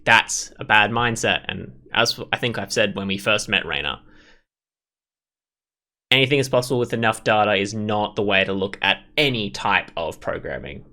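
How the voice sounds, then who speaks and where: A man hosts a visual novel playthrough in a fairly low, bright voice. He reads the text aloud and drifts into rambling, opinionated commentary. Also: moderate pace. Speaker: gameplay video host.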